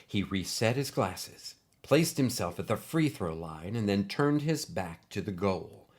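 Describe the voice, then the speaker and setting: A man reading a scripted story as narrator, with expressive, deliberate phrasing. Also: fairly low pitch.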